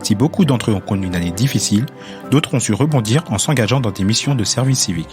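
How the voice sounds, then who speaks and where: Brisk, low-voiced male radio presenter over music. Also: expressive. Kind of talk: scripted radio narration.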